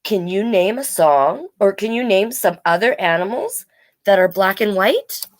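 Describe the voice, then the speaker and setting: A woman's voice: mid-range voice, sing-song, a little slow, no room sound. A woman hosting a children's storytime livestream, chatting casually and reading aloud in a playful, rambling way.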